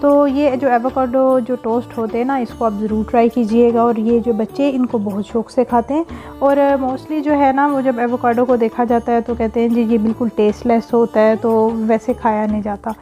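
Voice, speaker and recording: A woman's voice: fairly high pitch, steady tone, normal speed, soft background music. A woman, hosting her cooking vlog in a casual, rambling voice-over full of filler phrases and blessings. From Pakistan. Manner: warm religious blessings and greetings. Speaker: cooking and family vlogger.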